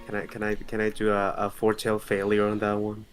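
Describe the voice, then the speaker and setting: A man playing a tabletop game, chatting casually in halting, hesitant phrases. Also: fairly low pitch, monotone, faint music behind.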